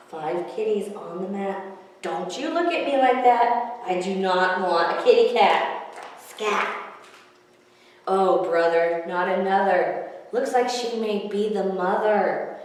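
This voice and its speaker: A woman voicing a grumpy but soft-hearted old man from a storybook, exclaiming in exasperated rhymes. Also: mid-range voice, takes it slowly, heavy reverb, in a large hall, recorded from a distance, a little hiss or hum, lightly acted. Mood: exasperated, worried.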